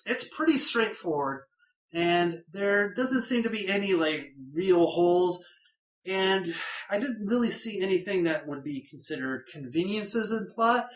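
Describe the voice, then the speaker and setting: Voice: mid-range voice, fairly bright tone. Rambling reviewer in an echoey room. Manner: rambling, with many fillers and repeated words. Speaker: horror film reviewer. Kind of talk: casual spoken film review.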